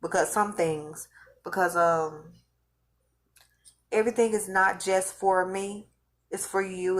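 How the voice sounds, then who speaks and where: Lady losing her voice, kinda low, rambles and restarts a lot, just talking to her viewers. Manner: rambling, repeats and restarts phrases. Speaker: vlogger recounting religious visions. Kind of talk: casual spoken monologue to viewers.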